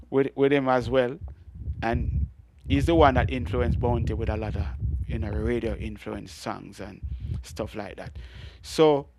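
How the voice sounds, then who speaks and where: A middle-aged Jamaican man with a deep voice, telling animated stories as a guest in an interview. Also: a little hiss or hum. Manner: animated storytelling with frequent laughs and restarts. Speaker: guest reggae artist. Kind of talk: storytelling interview.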